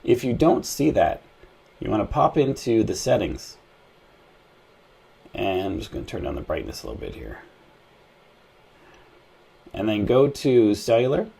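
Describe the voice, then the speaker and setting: Low, gravelly man giving tutorial. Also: big pitch swings, measured pace, long pauses, dark tone. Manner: plain-spoken, instructional, conversational. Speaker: tech tutorial host. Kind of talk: casual step-by-step phone tutorial narration.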